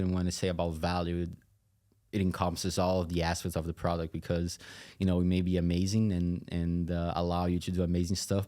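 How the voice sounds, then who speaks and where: A man explains things in a halting, thoughtful way as a guest in a conversational interview. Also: deep voice, warm tone. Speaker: podcast guest, tech company chief executive.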